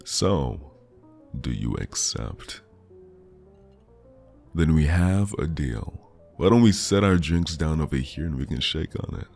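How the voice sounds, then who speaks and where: A man acting as a flirtatious male stranger charming someone in an ASMR romance roleplay. His voice is extremely low and heavily fried, drawn out slowly and teasingly. He sounds smooth-talking and intimate. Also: animated, darkish tone, soft background music, heavily acted. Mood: playful, coaxing. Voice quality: deep, gravelly.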